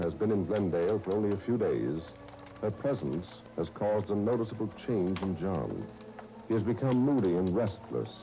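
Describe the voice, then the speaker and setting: A man narrates a 1930s radio serial with formal drama. His low voice moves expressively over clearly audible music. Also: low-quality recording. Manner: dramatic, formal storytelling delivery.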